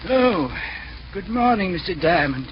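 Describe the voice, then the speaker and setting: A man's voice: high voice, very animated, a little slow. An actor playing a prim, timid, formal male butler in a 1940s radio drama. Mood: prim, flustered. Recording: dull, noisy 1940s radio recording.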